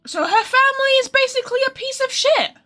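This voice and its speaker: A woman's voice: very high-pitched, expressive, quick pace, sharp tone, faint music behind. A chatty woman giving casual, joking, sweary live commentary as she streams a game.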